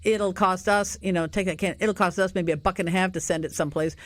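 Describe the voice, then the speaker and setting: A middle-aged woman hosting a radio talk show, chatty and animated, telling stories with frequent laughs. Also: fairly low pitch, quick pace, no echo, studio quality. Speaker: radio host.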